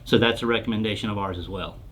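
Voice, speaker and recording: A man's voice: on the low side, steady tone, fast, a bit bright. A man answering questions as a sales expert in an informal explainer interview, speaking in a plain, explanatory way.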